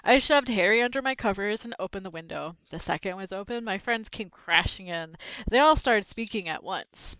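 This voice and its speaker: A woman's voice: medium pitch, animated, moderate pace, a bit bright, dry recording, dull audio. A woman reading a children's book aloud expressively, breaking in with casual, plain asides to explain the story. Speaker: read-aloud narrator with commentary.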